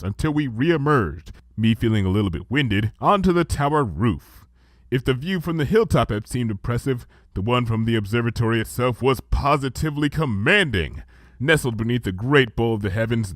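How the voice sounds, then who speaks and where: Animated male storyteller, dry close sound. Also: medium pitch, no echo. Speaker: fan fiction narrator and reader. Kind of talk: expressive first-person story narration.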